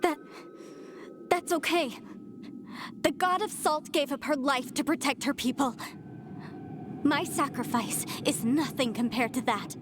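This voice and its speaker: A woman's voice: sits high, measured pace, pauses often, noisy background. An actress playing a zealous young woman devoted to a fallen goddess, speaking in an earnest, impassioned way. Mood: defiant. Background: game music.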